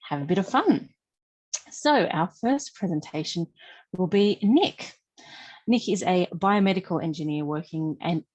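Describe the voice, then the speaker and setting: Low-voiced woman hosting a webinar. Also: a little mellow, low-quality recording. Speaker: webinar host. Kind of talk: scripted introduction.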